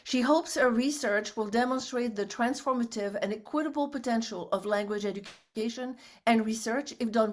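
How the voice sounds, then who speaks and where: A woman hosting an academic webinar over a video call, reading announcements and introducing the speaker in a measured way. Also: on the high side. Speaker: webinar host. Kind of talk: formal introduction.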